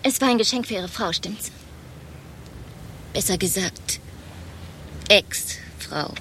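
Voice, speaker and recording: An actress playing a naive, nervous, easily tearful secretary in a radio play, flustered and whiny. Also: female voice, medium pitch, slow, sharp tone, slight background noise. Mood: hushed, curious.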